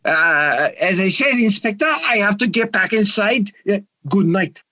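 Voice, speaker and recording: Man playing a hesitant, nervous nightclub boss, higher-pitched. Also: expressive, unhurried. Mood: defensive, flustered. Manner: hesitant, stammering, repeats himself, defensive. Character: nervous, evasive French nightclub owner. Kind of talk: amateur radio mystery acting.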